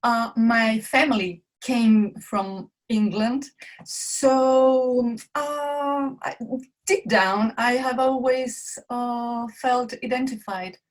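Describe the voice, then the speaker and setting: Woman, second-language English, halting, very slow-paced, podcast guest. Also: a little high, steady tone. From Chile. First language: Spanish. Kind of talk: casual interview chat.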